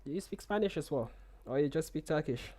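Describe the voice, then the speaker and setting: A man's voice: on the high side, animated, a little fast, a little mellow, slightly rough. A young man hosting a live trading stream in casual chat, reading and answering viewer comments with many restarts and repeated words, speaking into a headset in a small room.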